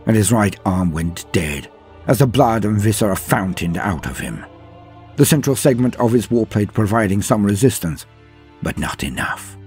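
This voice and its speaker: A man narrating a scripted story in a dramatic, grave, measured manner with pauses for effect, his voice husky. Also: lowish voice, animated, darkish tone. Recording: music behind the voice. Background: background music.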